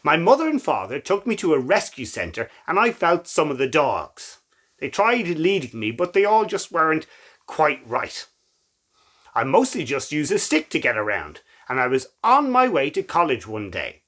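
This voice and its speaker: A man's voice: a little high, wildly swooping pitch, normal speed, a bit bright, heavily acted. A man playing a brave, affectionate young woman who is blind, in a dramatic, tender storybook reading. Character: brave, affectionate blind girl, college student.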